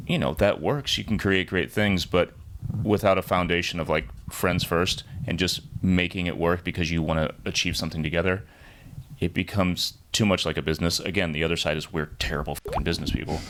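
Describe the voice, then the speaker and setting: A man's voice: low voice, steady tone, a little fast, a bit bright, slight background noise. A man from St. Louis who plays in a band, talking in a reflective way and often searching for his words. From St. Louis, USA. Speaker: band member. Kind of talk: casual podcast chat.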